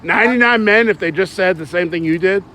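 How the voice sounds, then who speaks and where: A man's voice: sits high, quick pace, background noise. A man hosting a street interview outdoors at night, persuasive and lecturing. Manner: persuasive, lecturing, laughs often. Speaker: YouTube channel host interviewing people.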